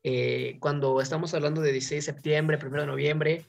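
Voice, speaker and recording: A woman's voice: low voice, steady tone, a little fast, vocal fry, darkish tone, faint music behind. A Mexican woman hosting a talk show, giving her opinions in an informal, discursive way and often checking for agreement.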